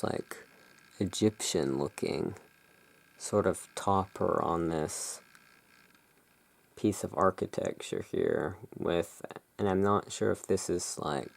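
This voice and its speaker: Very slow, low, creaky ASMR host. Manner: hushed, unhurried, musing. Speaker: host, playing curiosity emporium owner. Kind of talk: soft-spoken ASMR roleplay, reading and describing tarot cards.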